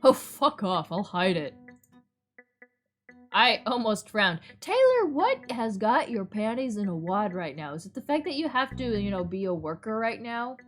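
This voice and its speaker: Girl streamer reading a visual novel out loud, super animated, playful, music in the back. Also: on the high side, moderate pace, hardly any pauses. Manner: playful, theatrical, exclamatory. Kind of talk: live visual novel reading with chatty commentary.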